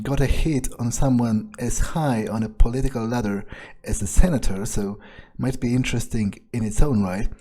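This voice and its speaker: Man, mellow dark tone, hesitant musing, in-character gameplay commentary, faint game music behind. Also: mid-range voice. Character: calculating, detached vampire mercenary for hire. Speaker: player voicing their character in a let's play.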